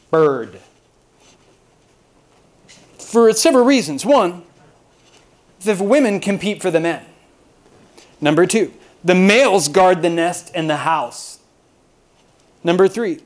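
An American man from Texas giving an informal, humorous lecture to colleagues, rambling and joking at his own expense. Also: high voice. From Austin, Texas, United States. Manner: self-deprecating, rambling, jokey storytelling. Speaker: university lecturer giving a talk.

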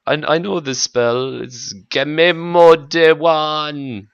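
A man's voice: a little high, steady tone, takes it slowly, vocal fry, smooth, no echo. Giggly young man gaming, dull dry audio. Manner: joking, giggly, playful asides. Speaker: gamer co-host. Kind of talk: casual let's-play chat.

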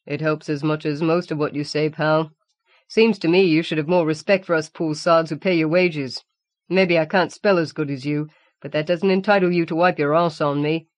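A woman's voice: low-pitched, fairly even intonation, normal speed, dry recording, natural voice. A woman voicing an irritable, coarse working-class father with a blunt, sarcastic manner in an audiobook. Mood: angry, sarcastic.

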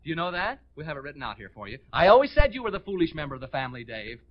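An American man hosting a live 1940s radio game show with excitable, hurried patter from the stage. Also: a little high. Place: on stage before a studio audience. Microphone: stage microphone. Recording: dull 1940s radio recording.

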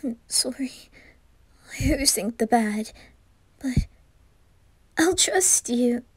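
A woman plays a lovestruck teenage boy in an intimate audio roleplay. The voice is high and breathy, with slow, hesitant phrasing. He sounds trusting and vulnerable, recorded close and dry. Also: lively intonation, no room sound, exaggerated voice. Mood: tender, hopeful. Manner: breathy, hushed, hesitant delivery. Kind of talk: whispered ASMR roleplay acting.

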